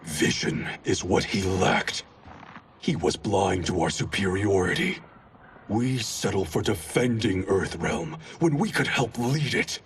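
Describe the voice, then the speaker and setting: An actor playing a proud, cold, ambitious ninja grandmaster in a video game, haughty, stern and clipped. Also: male voice, lowish voice, expressive, a little slow, a little hiss or hum. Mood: proud, defiant. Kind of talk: dramatic video game cutscene acting.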